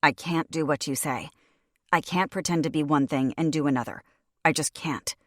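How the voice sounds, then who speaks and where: A woman's voice: deep voice, moderate pace, fairly bright tone, no echo, studio quality. A woman voicing a defiant young woman held captive, blunt and scornful. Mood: sullen.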